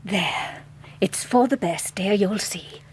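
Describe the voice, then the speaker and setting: Actress as a brisk, domineering Victorian mother. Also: medium pitch, in a whisper, natural voice. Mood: soothing, managing. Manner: firm, bossy, brisk. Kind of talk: period radio drama acting.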